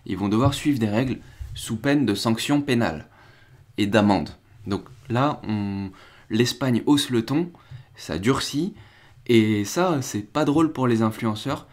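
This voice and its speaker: A man's voice: medium pitch, a little slow. A young man casually commenting on crypto news during a live stream, rambling with restarts and reading from the chat. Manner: rambling, restarts and fillers, chats with viewers.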